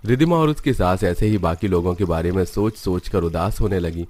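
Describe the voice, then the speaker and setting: Low-voiced male story narrator. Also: moderate pace, darkish tone. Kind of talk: scripted cartoon story narration.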